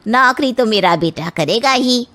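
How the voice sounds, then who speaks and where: A bossy, old-fashioned mother-in-law from a cartoon family tale, acted by a woman. She speaks very slowly in a high voice, probing and domineering as she sizes people up. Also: animated, a bit bright. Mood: haughty, probing. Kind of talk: animated moral story acting.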